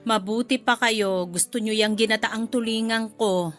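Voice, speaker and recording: A woman's voice: on the high side, fairly even intonation, moderate pace, fairly bright tone, faint music behind, close to an everyday voice. A woman with a Filipino accent playing a chatty, blunt middle-aged mother-in-law in a radio drama.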